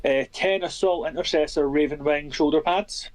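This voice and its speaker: A man with a Scottish accent chatting easily as a guest on a stream, reading out a list with pauses. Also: on the high side. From Scotland. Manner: easygoing, reads out a list with pauses. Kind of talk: casual chat.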